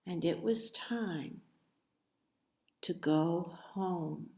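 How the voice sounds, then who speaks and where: A woman reading a children's storybook aloud as expressive narration, with dramatic, deliberate phrasing. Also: lowish voice. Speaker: storybook reader. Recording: echoey room.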